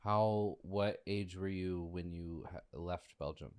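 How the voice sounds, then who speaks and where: A man's voice: deep voice, slow pace, darkish tone, clear, resonant tone. A man hosting a faith podcast, asking friendly, curious questions. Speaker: podcast host. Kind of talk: casual podcast interview.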